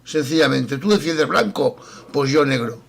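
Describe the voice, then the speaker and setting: A man from Spain argues his point in a video-call debate. He speaks in a firm, declarative, lecturing way. His voice sits slightly higher than most men's. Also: animated, normal speed, vocal fry, fairly bright tone. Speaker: debate participant.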